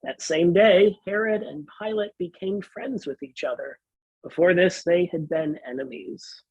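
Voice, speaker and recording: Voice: mid-range voice, measured pace, darkish tone, slightly rough, no echo, highs cut off. A pastor giving a dramatic scripture reading for a worship service.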